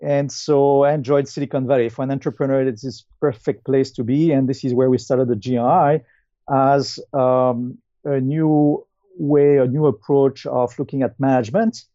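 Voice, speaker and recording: A man in his fifties with a French accent answers interview questions conversationally, in a hesitant, halting way with many pauses. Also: medium pitch, fairly even intonation, dark tone, no echo, lo-fi sound. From France. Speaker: guest, company founder and CEO.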